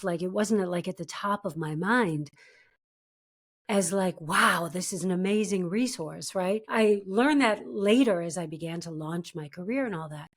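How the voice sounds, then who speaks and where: Middle-aged actress telling her story. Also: lowish voice. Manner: storytelling, breaks plans into steps. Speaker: guest, actor. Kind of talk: casual interview talk.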